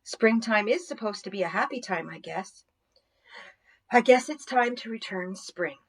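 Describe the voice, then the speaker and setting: A woman voicing a stern but forgiving motherly spirit of nature in a children's storybook, measured and commanding. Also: medium pitch, lively intonation. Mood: relenting.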